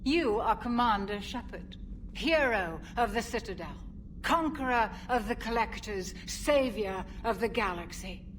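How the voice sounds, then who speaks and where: Gently wry, formal older woman officer. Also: on the high side, expressive, unhurried, a little hiss or hum. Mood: fond. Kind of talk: video game voice acting.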